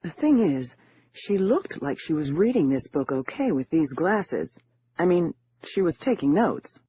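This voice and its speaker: A man voicing a sly, confident woman con artist in an audiobook, with a husky tone. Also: fairly high pitch, big pitch swings, unhurried, heavily acted. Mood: cool, probing. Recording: dull lo-fi audio.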